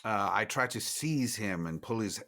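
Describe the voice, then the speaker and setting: A man's voice: fairly low pitch, animated, moderate pace, bright, forceful tone. A man playing a tabletop roleplaying game, casually asking questions and stating what his character does. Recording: background music.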